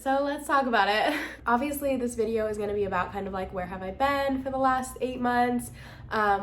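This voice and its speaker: A woman's voice: on the high side, fairly bright tone. Nasal young American woman vlogger. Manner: candid, chatty, frequent "like". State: slightly congested from being sick. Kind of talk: casual chat to camera.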